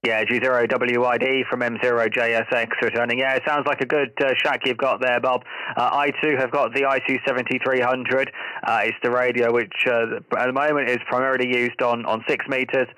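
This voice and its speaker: Bright, forceful man, closely recorded. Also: medium pitch, little pitch movement, fairly quick, clean tone, dry recording, rough recording. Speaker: amateur radio operator, channel host. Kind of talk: casual radio chat, technical.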